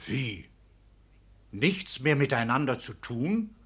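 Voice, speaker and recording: Husky, blustering elderly parish provost. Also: male voice, mid-range voice, very animated, slow, a bit bright, full character voice. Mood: shocked, indignant. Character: indignant elderly parish provost, fatherly uncle and husband. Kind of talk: German radio play acting.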